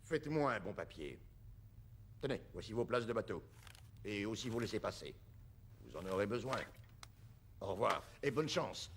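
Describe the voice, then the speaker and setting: A man voicing a gruff, authoritative older-style official in a French cartoon dub. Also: lowish voice, very animated, normal speed, pauses often, slight background noise. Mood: brisk, dry.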